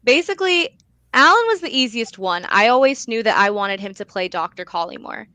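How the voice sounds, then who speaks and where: A young woman talking casually as an interview guest, chatty and expressive, often saying "like" and "you know". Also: a little high, brisk, no room sound. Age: young adult. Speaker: guest, young playwright and director.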